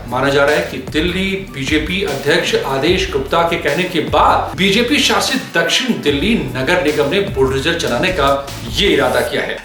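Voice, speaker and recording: Echoing, higher-pitched male news commentator. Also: a bit bright. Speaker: news commentator and channel host. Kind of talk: scripted news narration with opinionated commentary. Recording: heavy echo, music bed underneath. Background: background music.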